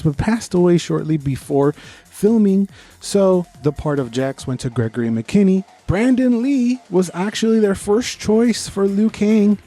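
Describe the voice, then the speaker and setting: A man's voice: high voice, dark tone. A man co-hosting a podcast, chatting casually about movies with slangy, enthusiastic fan talk. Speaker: podcast host. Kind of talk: casual movie review chat.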